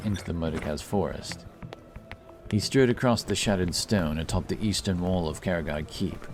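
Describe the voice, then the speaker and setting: A man narrating a scripted fantasy audiobook with a measured, dramatic storytelling manner. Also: low voice, darkish tone, a little hiss or hum. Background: music.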